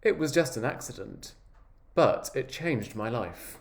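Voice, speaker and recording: A man voicing an earnest, wistful egg who tells his own story in a measured, reflective picture-book narration. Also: mid-range voice, animated, gravelly. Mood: matter-of-fact.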